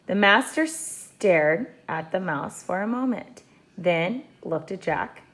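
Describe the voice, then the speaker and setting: A woman reading a children's book aloud like a teacher, in expressive scripted storybook narration. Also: medium pitch, slow pace.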